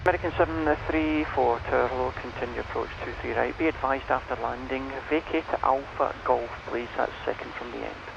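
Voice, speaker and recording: A man's voice: medium pitch, steady tone, a little fast, gravelly, hardly any pauses, dull audio. A man working as an airport tower air traffic controller, giving clipped standard phraseology over aviation radio. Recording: noisy airband radio over loud aircraft. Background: aircraft engine noise, airfield ambience.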